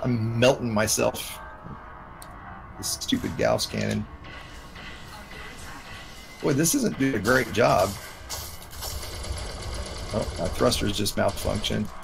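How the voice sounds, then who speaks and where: A man's voice: fairly low pitch, big pitch swings, slow, vocal fry, long pauses, music in the background. A man from the United States chatting casually as a live streamer while playing a game. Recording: noisy gaming voice chat.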